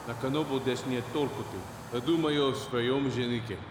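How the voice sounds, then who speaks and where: A man's voice: medium pitch, fairly even intonation, a little fast, noisy background, natural voice. Echoey, menacing villain, played by a man. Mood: threatening. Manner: threatening, forceful. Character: menacing Russian male villain making angry threats. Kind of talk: audio drama dialogue. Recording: echoey reverberant space, loud vehicle ambience.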